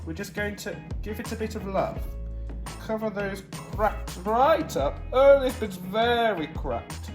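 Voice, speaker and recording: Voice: mid-range voice, slow, natural voice. A performer playing a haughty, dotty, easily distracted Queen of Hearts in a pantomime baking show, imperious and rambling. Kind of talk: pantomime character hosting a baking segment. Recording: roomy, distant mic, music behind.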